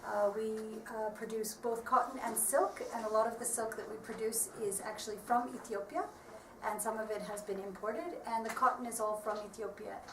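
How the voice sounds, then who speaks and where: A woman's voice: on the high side, fairly even intonation, normal speed. A woman working as a marketing advisor, explaining things earnestly and steadily in an interview, with frequent ums and restarts. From United States. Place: workshop premises. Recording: echoey room, voice from far off, faint background noise.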